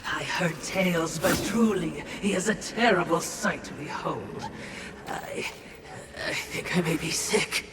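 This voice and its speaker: A woman's voice: fairly low pitch, a little slow, background noise, natural voice. An actress voicing a sly, sarcastic female demonic spirit in a video game, servile on the surface but mocking and melodramatic. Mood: sly, sardonic. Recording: echoey, distant, loud music behind.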